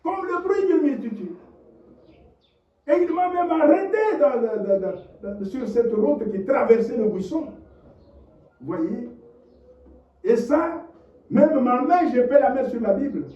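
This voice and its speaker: A man preaching a sermon, impassioned, dropping to low murmurs and rising to shouted rhetorical questions. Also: lively intonation, a little slow, long pauses. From DR Congo. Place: church hall. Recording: echoey, distant, dull lo-fi church recording.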